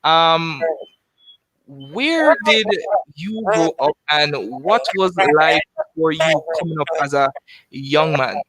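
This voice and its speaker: A man's voice: fairly high pitch, animated, slow, fairly bright tone, no echo, poor audio. A young man interviewing a guest, talking hesitantly with many fillers. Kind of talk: casual interview.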